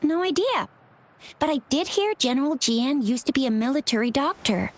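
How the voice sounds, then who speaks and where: An actress playing a lively, chatty young female companion in a video game, casual, eager and expressive. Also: high voice, moderate pace. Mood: curious, chatty. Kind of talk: animated game dialogue.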